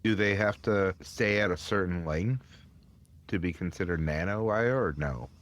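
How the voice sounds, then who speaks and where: A man's voice: low voice, moderate pace, dry recording. A man co-hosting a podcast, speaking in casual conversation. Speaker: podcast co-host. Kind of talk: casual chat.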